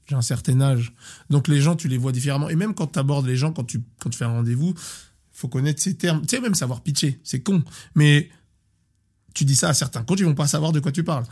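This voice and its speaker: A man in his thirties, a sports coach, talking casually in an interview, animated and often repeating and restarting words. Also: fairly high pitch, a little fast, slightly rough. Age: 30s.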